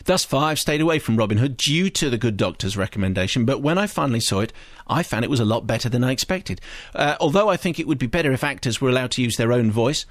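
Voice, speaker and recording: Man, middle-aged, radio host, quick reading pace, dry close mic, measured. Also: medium pitch, animated, no echo. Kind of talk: reading listener emails and a chart on radio.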